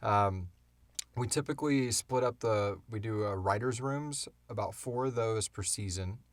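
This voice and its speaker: A man's voice: on the low side, a little slow, no echo. A middle-aged man hosting a podcast, chatting casually in a rambling, informal, self-deprecating way. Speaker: podcast host and audio drama creator. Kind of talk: casual Q&A chat. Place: home podcast studio.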